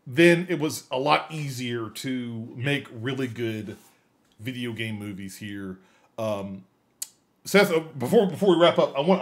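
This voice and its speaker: A man hosting a podcast in casual discussion, haltingly repeating phrases as he builds his point. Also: medium pitch. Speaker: podcast host.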